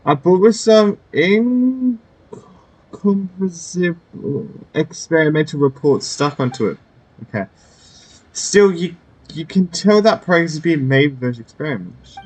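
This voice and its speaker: A man giving casual, off-the-cuff let's play commentary, halting and repeating his phrases as he goes. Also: a little high, animated, a little mellow, breathy edge, rough recording. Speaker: gaming video host.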